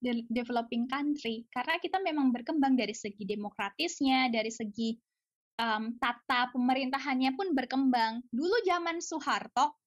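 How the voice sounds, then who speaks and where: A woman's voice: high-pitched, normal speed, dry recording, clean studio sound. A woman chatting casually and emphatically as an interview guest, outspoken, with rhetorical questions and the odd English phrase. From Indonesia. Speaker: podcast guest, researcher living in Japan. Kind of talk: casual, opinionated interview chat.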